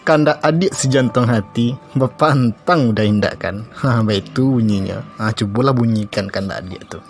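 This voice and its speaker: A man's voice: medium pitch, moderate pace, hardly any pauses, lightly acted. Male, Minangkabau accent, acting doting cartoon husband, poetic sweet talk, music underneath. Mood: admiring, tender. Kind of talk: comic cartoon dialogue.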